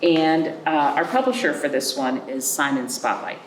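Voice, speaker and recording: A woman hosting a children's storytime as a librarian, reading aloud with chatty asides and simple, animated explanations with little check-in questions. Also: low-pitched, moderate pace, echoey room, slight background noise, low-quality recording. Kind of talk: picture-book read-aloud with chatty asides for young children.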